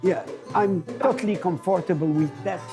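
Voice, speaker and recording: A Moroccan businessman whose first language is Arabic, answering interview questions in halting English full of fillers. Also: high-pitched, loud music behind the voice. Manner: halting, filler-heavy non-native English.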